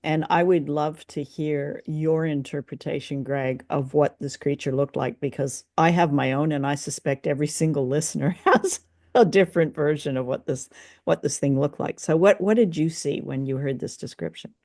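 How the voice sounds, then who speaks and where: A woman's voice: low voice, moderate pace, a little mellow. A woman hosting a casual review discussion, conversational and inquisitive, often hedging as she puts her thoughts. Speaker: podcast host.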